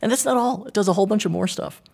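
A man who hosts a podcast, reading ad spots and chatting with a guest in an upbeat, energetic way. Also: high-pitched, very fast, vocal fry. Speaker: podcast host. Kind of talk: read ad spots and casual interview.

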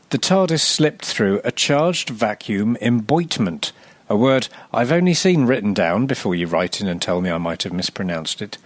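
A man reading a scripted, humorous narration as a podcast presenter, with a wry, deadpan, sardonic delivery. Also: lowish voice, no room sound.

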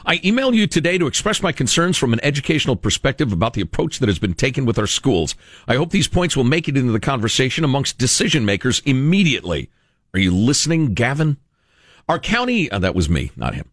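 An American man hosting a talk show, reading aloud and commenting with emphatic, deliberate phrasing. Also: mid-range voice, big pitch swings, no echo, studio quality. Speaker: radio talk show host.

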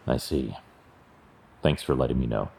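Male actor as brooding masked vigilante: extremely deep, clipped sentences, guarded and restrained. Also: moderate pace, gravelly, dark tone, slight background noise. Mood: stoic, guarded. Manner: terse, restrained. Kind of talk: audio drama acting.

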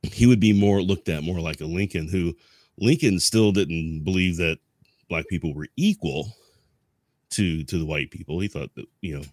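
A man with a notably low voice talks casually about history as a podcast co-host. His delivery is halting and rambling as he explains, and the sound is dry and close, with little room on it. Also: darkish tone, no room sound.

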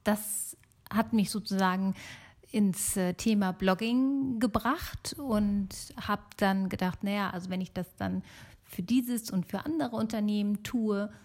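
A woman's voice: medium pitch, unhurried, a little mellow. Middle-aged woman hosting a casual podcast. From Germany. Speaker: podcast host.

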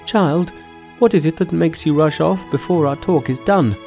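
A man's voice: fairly high pitch, moderate pace, vocal fry, darkish tone, soft background music, voice pushed up. A man voicing a sorrowful, anxious queen mother who speaks tenderly and formally in a storybook tale. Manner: formal, plaintive, pleading. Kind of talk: storybook dialogue in a narrated folk tale.

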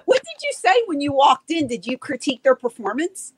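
A woman's voice: high-pitched, big pitch swings, brisk, a little hiss or hum. A woman in her forties hosting a casual interview, teasing and playful. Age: 40s. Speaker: podcast host.

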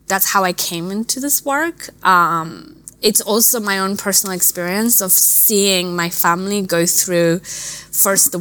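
A woman from Afghanistan, a native Dari speaker, giving earnest, reflective interview answers in a measured way with thoughtful pauses. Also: on the low side, fairly bright tone. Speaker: interview guest, refugee charity director.

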